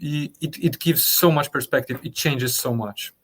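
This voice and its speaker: A young man with a Polish accent, a native Polish speaker, answering interview questions in a thoughtful, halting way full of fillers and restarts. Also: on the high side, a bit bright, breathy edge. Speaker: guest artist. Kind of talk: conversational interview.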